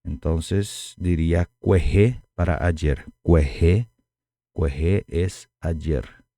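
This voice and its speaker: A man teaching a language lesson, speaking slowly and repeating each word for learners to copy, with Guarani as his first language. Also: deep voice, steady tone, mellow, dry recording.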